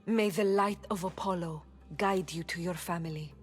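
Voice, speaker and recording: A woman playing a guarded, troubled oracle of ancient Greece in a video game, speaking solemnly and deliberately. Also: fairly low pitch, fairly even intonation, faint music behind, natural voice. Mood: wary. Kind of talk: dramatic video game acting.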